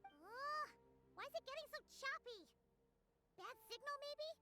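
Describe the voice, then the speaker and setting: A woman voicing a tiny, childlike, excitable fairy companion in a game, exclamatory and a bit whiny. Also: very high voice, measured pace, faint music behind, heavily acted. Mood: surprised. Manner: exclamatory, talks of self in third person.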